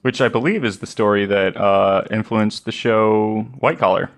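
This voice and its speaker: A man's voice: lowish voice, normal speed. A man chatting casually as a podcast guest, throwing in wisecracks and reactions. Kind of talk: casual chat, reacting to a story.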